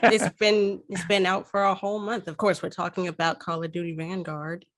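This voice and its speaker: A woman's voice: on the low side, level tone, normal speed. A tired woman hosting a casual gaming podcast, speaking in brief, easygoing remarks. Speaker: podcast host.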